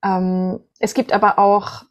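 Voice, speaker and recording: A woman's voice: medium pitch, flat delivery, takes it slowly. Woman from northern Germany, interview guest. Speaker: voice coach and opera singer, podcast guest. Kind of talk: conversational interview answers.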